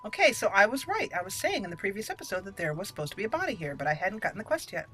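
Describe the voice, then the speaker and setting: A woman hosting a gaming channel, giving casual, playful let's play commentary in an excitable, chatty way. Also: medium pitch, rapid-fire, rough recording. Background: game music under the voice.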